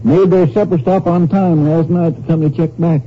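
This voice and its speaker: An actor playing a helpful, plain-spoken small-town sheriff, folksy and easygoing, in 1950s radio drama style. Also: male voice, fairly high pitch, steady tone, normal speed, natural voice. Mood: folksy, puzzled. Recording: muffled, noisy old radio recording.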